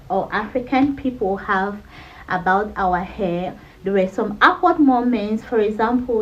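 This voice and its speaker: A woman's voice: mid-range voice, muffled recording, a little hiss or hum. A woman from Cameroon in her thirties, talking candidly in a personal vlog with a conversational manner. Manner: candid, conversational, frequent "you know". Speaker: vlogger.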